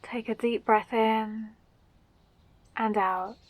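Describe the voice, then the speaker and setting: Woman playing a gentle, unhurried lady mage. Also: fairly high pitch, steady tone, no echo. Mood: soothing. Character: kind, eager female fantasy mage teaching magic. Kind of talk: roleplay ASMR audio drama.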